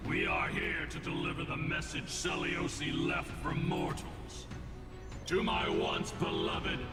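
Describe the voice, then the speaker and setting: A radiant, solemn messenger of the gods for a fantasy game. The delivery is slow and grand, fairly high for a male voice, with strong echo. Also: fairly even intonation. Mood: proud. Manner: stately, grandiose. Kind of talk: scripted fantasy game dialogue. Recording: echoing, distant, loud music underneath.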